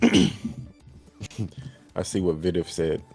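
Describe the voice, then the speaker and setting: A man's voice: low-pitched, huge pitch swings, extremely slow, vocal fry, a little mellow, breathy edge, soft background music. A man guesting on a casual chat, talking in a laid-back, wry, joking way. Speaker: guest YouTuber.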